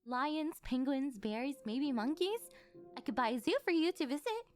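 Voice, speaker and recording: A woman's voice: high voice, lively intonation, normal speed, soft background music. A woman playing a rich, possessive older yandere girlfriend in a scripted ASMR roleplay, coaxing sweetly and rambling a little awkwardly. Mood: sweet, concerned.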